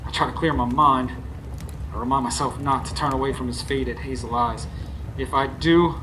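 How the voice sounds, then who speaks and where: A man's voice: medium pitch, steady tone, normal speed, natural voice. A man as wry radio drama hero, noisy recording. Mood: wry storytelling. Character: narrator hero, nervous, skeptical, unschooled young working man. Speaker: narrator and lead character. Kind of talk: first-person radio drama narration and dialogue. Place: stage before a live studio audience. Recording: live stage recording with clear background noise.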